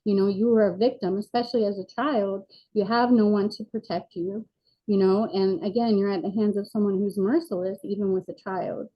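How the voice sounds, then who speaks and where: Woman, 40s, interview guest: earnest, reflective, even-toned, on a dull lo-fi line. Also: medium pitch, fairly quick. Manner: earnest, reflective, frequent fillers. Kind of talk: candid personal interview. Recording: dull lo-fi sound.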